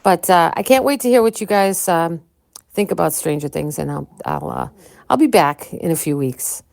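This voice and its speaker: A woman from Chicago chats casually to her podcast listeners. She sounds excitable, with a low-pitched voice and plenty of ums and restarts. The recording is dry and close. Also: moderate pace, vocal fry, dry recording. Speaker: podcast co-host.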